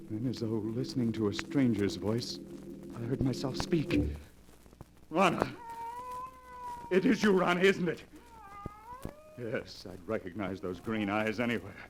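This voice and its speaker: An actor playing a haunted, desperate fiance in a 1940s radio melodrama, with theatrical delivery. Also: male voice, lowish voice. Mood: defiant. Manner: theatrical, brooding narration rising to frantic outbursts. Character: haunted, desperate fiance confessing to his doctor. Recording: noisy old 1940s radio recording.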